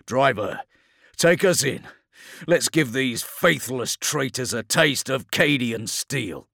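A man's voice: mid-range voice, expressive, a little slow, creaky, fairly bright tone, no echo, clean studio sound, pitched above the performer's own voice, full character voice. A man voicing a fierce, commanding tank captain in an audiobook battle scene, giving clipped military orders. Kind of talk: dramatic audiobook character acting.

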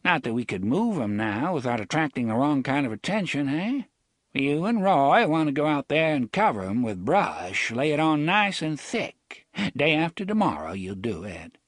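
A man's voice: very animated, normal speed, dry recording, studio quality. A man doing a quavery, sly old gunslinger. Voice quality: quavery, high and shrill. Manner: drawling, sardonic, teasing. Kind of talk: audiobook character voice.

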